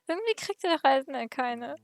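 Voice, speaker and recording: A woman's voice: high-pitched, lively intonation, normal speed, no room sound. A woman giving casual let's play commentary with game music behind her. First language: German. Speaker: let's player.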